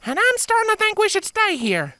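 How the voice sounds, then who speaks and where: Narrator doing a high, nervous country pony filly. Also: wildly swooping pitch, brisk, bright, forceful tone, full character voice. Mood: uneasy. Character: nervous folksy young female earth pony filly.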